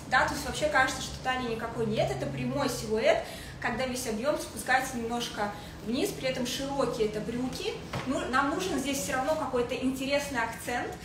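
A woman in her thirties giving a casual livestream style lesson as a stylist, speaking haltingly with searching pauses. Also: on the high side. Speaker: fashion stylist hosting a livestream. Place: indoors in a home living room with a desk. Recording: echoey room with faint noise.

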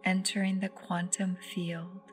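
A woman leading a guided meditation in a soft, soothing, unhurried voice that turns breathy at phrase ends. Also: on the low side, little pitch movement. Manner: soothing, unhurried, with long pauses. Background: meditative music with singing bowls.

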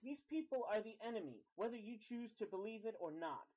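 A man's voice: sits high, expressive, fairly quick. An actor playing a ranting, alarmist male TV commentator, heard through a television. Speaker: radio or TV commentator. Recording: dull lo-fi TV broadcast sound.

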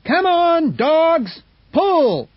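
A man voicing a brash, stubborn young man who talks loud and dismissive. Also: high-pitched, big pitch swings, slow, breathy edge, dry recording, dull audio, pitched above the performer's own voice, full character voice. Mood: shouting.

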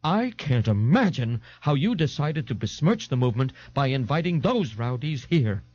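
A man's voice: mid-range voice, sing-song, moderate pace, a little mellow, slightly rough, lo-fi sound. A man voicing a pompous, indignant fat man who speaks in a grave, grandiloquent, formal way in an audiobook. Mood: grandly irritated.

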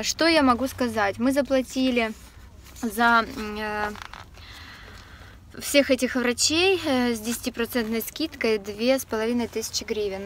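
A young woman chatting casually to the camera from her parked car, with many filler sounds and light laughs. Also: fairly high pitch. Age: young adult. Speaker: vlogger. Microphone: camera on the dashboard. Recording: faint background noise.

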